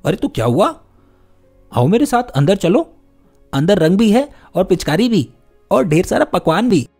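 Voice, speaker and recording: A man's voice: high voice, big pitch swings, measured pace, warm tone, soft background music, voice pushed up, exaggerated voice. A man voicing a confident, friendly young boy from a rich home in a story, insistent and inviting.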